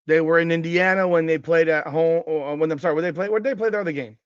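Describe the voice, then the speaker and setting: A man in his forties hosting a casual sports podcast, ranting and cursing, his voice jumping higher whenever he gets worked up. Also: fast talker, clean tone, no echo, dull audio. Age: 40s. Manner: ranting and profane, voice jumping up in pitch when worked up. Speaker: podcast host. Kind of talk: casual sports talk livestream, reading chat comments.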